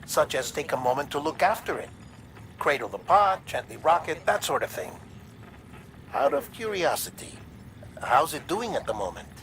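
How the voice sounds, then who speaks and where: An actor playing a chatty, slightly evasive medical expert in a video game, explaining things in a casually wry way. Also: male voice, on the high side, sing-song, normal speed, vocal fry, fairly bright tone, slight background noise, exaggerated voice, rough recording. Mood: casual, instructive. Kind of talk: conversational video game cutscene acting.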